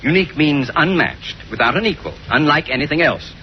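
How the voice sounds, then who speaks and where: An actor playing a gruff middle-aged school principal with a pompous, formal manner in a 1940s radio sitcom. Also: male voice, a little high, lively intonation, a little fast, lightly acted. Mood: self-satisfied, pompous. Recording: dull old radio recording, faint noise.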